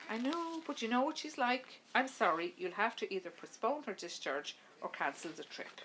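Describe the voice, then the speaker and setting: A woman voicing a brisk, unyielding, matter-of-fact wife in an audiobook story. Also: on the high side, expressive, fairly bright tone, a little hiss or hum, pitched above the performer's own voice, rough recording. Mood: firm. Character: brisk, unyielding Spanish wife, calmly argumentative.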